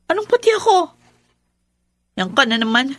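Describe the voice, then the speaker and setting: A woman's voice: high-pitched, big pitch swings, normal speed, fairly bright tone, dry recording, faint music behind. An actress playing a drained, indignant girlfriend with a Filipino accent in a radio melodrama. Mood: exasperated.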